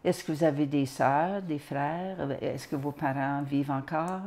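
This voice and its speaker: Low-voiced woman hosting a studio interview. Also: unhurried, darkish tone. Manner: friendly questioning with "euh" fillers. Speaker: host, interviewer.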